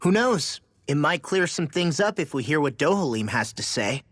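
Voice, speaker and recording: An actor playing an easygoing, level-headed young fighter in a fantasy video game, with a casual, breezy way of talking. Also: male voice, on the high side, very animated, normal speed, a bit bright, husky, no echo, heavily acted. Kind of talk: video game dialogue acting.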